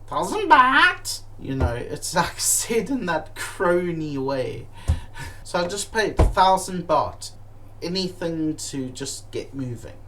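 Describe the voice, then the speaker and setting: A man telling a comic travel story at an unhurried pace, his voice rising and falling as he gets worked up. He swears freely and stumbles through fillers and restarts. A faint hiss of noise sits behind him. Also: high voice, sing-song. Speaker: comedic storyteller.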